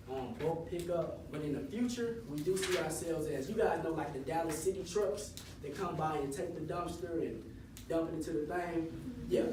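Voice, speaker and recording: A man in his twenties giving a casual, encouraging motivational talk to high school students and leading them in chants. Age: 20s. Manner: casual, encouraging, leads call-and-response chants. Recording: echoey hall, voice from far off, audible room noise.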